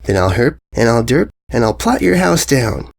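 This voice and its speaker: A man's voice: medium pitch, big pitch swings, normal speed, creaky. A man voicing a ditzy grown mare from a rhyming storybook who pleads in singsong calls. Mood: sad, threatening.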